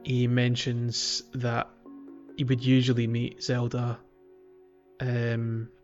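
Slightly muffled, low-pitched man podcasting. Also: completely flat delivery, slow pace, a little mellow, faint music behind. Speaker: podcast host. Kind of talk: casual video game playthrough discussion. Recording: slightly dull lo-fi audio.

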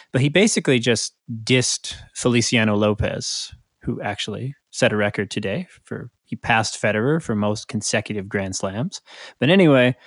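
A man's voice: on the low side, no echo, studio quality. A man co-hosting a podcast, talking through sports in a casual, analytical chat. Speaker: podcast co-host.

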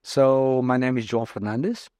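A man's voice: medium pitch, darkish tone, dry recording. Portuguese-native man in his fifties. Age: 50s. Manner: halting non-native English with many fillers. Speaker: guest. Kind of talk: casual interview answers.

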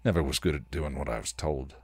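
Man playing a deep, sardonic vampire. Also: fast, a little mellow, breathy edge, no echo, full character voice. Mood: wry. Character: sardonic, grouchy but caring male vampire.

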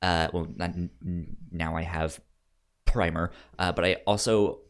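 A man hosting a podcast, chatting casually about a film in a halting, stammering way that often repeats words. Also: deep voice, fairly even intonation. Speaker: podcast host.

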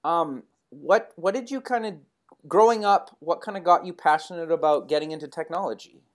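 A man's voice: on the high side, normal speed. Chatty male podcast host. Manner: casual, filler-heavy questions, laughs. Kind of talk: interview podcast.

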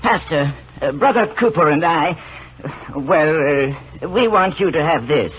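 An actor playing a bashful, folksy small-town churchgoer with a halting, stammering delivery in 1940s radio drama style. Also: male voice, a little high, expressive, fairly bright tone. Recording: hissy, dull vintage radio recording.

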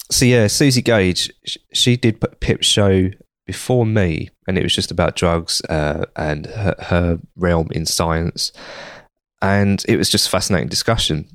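Croaky low-voiced man, chatty guest. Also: dry recording, studio quality. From United Kingdom. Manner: chatty, rambling with restarts. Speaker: podcast guest. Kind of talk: casual interview answers.

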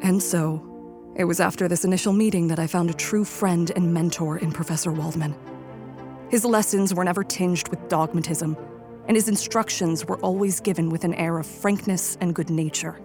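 A woman as an intense, low-voiced young scientist. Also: fairly even intonation, normal speed, darkish tone, faint music behind, natural voice. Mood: brooding, intense. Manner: formal, theatrical, intense period diction. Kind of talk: dramatic radio play narration and acting.

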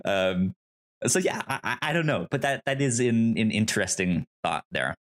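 A man co-hosting a podcast, discussing films casually in a halting, rambling way with easy laughter. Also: on the low side, expressive. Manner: rambling, stammering, laughs easily. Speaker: podcast co-host.

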